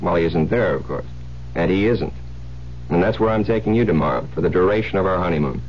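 An American actor playing a suspicious, domineering psychiatrist husband, insistent and pressing, in 1970s radio drama style. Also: male voice, low voice, expressive, quick pace. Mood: persuasive. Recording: muffled, hissy old radio broadcast with hum.

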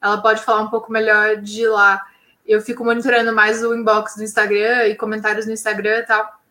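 A woman, a science communicator talking casually and animatedly in an interview, with quick slangy phrasing. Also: medium pitch, little pitch movement, bright, forceful tone, roomy sound.

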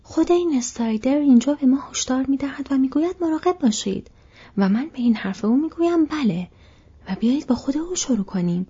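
A woman's voice: a little high, lively intonation, dark tone, slightly rough. A woman voicing a blunt, loyal young male hobbit servant in a whispery, protective, suspicious way. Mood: protesting. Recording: dull lo-fi recording.

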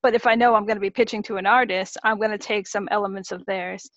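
A woman in her thirties from Massachusetts, a guest singer-songwriter, explains her work in a measured, steady way. Her audio is dry and a little dull. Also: medium pitch, dry recording. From Massachusetts, USA. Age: 30s. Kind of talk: interview answers.

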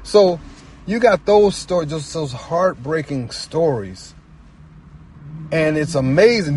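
A man talking casually and reflectively as a guest storyteller, earnest and halting, often repeating phrases for emphasis. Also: on the high side, a little mellow, a little hiss or hum. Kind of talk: casual, reflective personal talk.

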